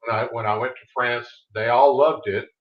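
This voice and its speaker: A man's voice: medium pitch, steady tone, normal speed. Texas-accented man in a roomy, dull call. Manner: easygoing, rambling with restarts. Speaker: author guest. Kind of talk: casual interview chat.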